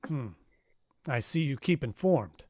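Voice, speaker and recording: A man's voice: medium pitch, animated, measured pace, warm tone, a little hiss or hum, close to an everyday voice. A man playing an unflappable, wisecracking private detective who also narrates in a first-person noir radio style. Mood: wry, unflappable. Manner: dry, wisecracking.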